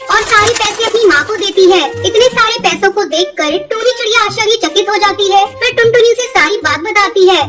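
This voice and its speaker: A woman's voice: very high-pitched, a little fast, hardly any pauses, over music. A woman narrating a scripted children's cartoon story.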